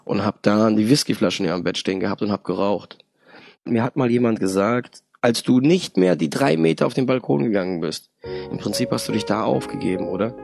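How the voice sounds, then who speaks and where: Low gravelly man in his thirties. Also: brisk, a little mellow, no room sound, faint music behind. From Germany. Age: 30s. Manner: reflective, often adds tag questions. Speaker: podcast guest. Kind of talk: personal story in an interview.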